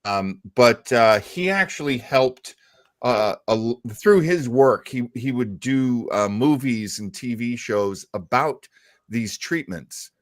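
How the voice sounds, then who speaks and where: A man's voice: medium pitch, animated, takes it slowly, dry recording, clean studio sound. A man hosting a casual panel discussion, commenting conversationally. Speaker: show host.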